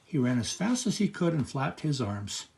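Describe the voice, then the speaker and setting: An older man reading a picture book aloud to children as a grandfatherly storyteller, with expressive narration. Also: mid-range voice, moderate pace, gravelly.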